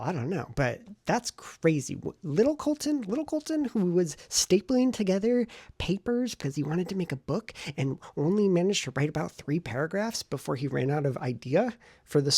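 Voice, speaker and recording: Gravelly-voiced man chatting casually on a vlog. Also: fairly high pitch, no echo. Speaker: novelist vlogger documenting writing progress. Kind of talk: casual vlog chat to camera.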